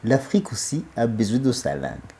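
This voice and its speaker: A man's voice: medium pitch, very animated, fairly quick, dark tone. A man, a studio guest, giving emphatic political commentary, who often repeats and restarts his phrases.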